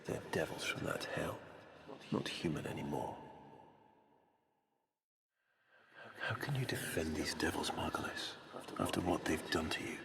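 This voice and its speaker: A man playing a cruel, haughty aristocratic Executor villain in a video game, with theatrical, measured, formal diction and silky menace. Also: low voice, gravelly, a bit bright. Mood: anguished, hushed. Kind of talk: dramatic video game character acting. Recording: long echoing hall reverb, some noise.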